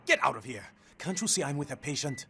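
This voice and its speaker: A man's voice: a little high, sing-song, fast, bright, forceful tone, full character voice. An actor playing an irritable, defensive male doctor under pressure in a video game. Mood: indignant.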